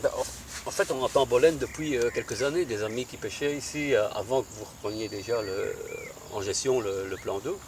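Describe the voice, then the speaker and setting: A man's voice: mid-range voice, a little fast. A man with a Belgian French accent, an angler answering interview questions by a lake, hesitant with many fillers. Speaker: angler competitor. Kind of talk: casual interview. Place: outdoors by a lake. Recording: distant mic, crickets and nature noise. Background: crickets and rural ambience.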